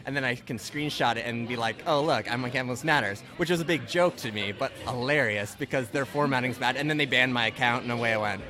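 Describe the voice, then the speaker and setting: A man's voice: medium pitch, fast, hardly any pauses. A man from Ontario hosting a podcast, chatting casually and rambling with lots of restarts and "right?" tags. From Ontario, Canada. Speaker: podcast host. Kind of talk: casual conversational interview.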